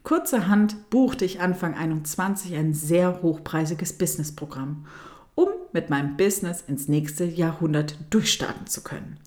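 A woman with a German accent hosting her own podcast, telling her personal story in a soft, intimate voice that often drops close to a whisper. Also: lowish voice, normal speed. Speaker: podcast host, dance teacher. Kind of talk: storytelling monologue.

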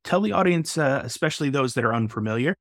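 A man's voice: medium pitch, expressive, fast, no room sound, clean studio sound. Enthusiastic male podcast host. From Texas. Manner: enthusiastic, uses filler uh. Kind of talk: casual interview hosting.

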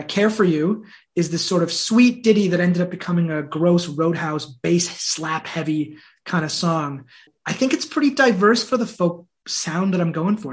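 A man with a voice pitched a little above the usual, speaking in an earnest, thoughtful way. He is a singer-songwriter guest in a relaxed interview. He sounds reflective and pokes a little fun at himself. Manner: earnest, reflective, self-deprecating. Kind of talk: casual interview talk.

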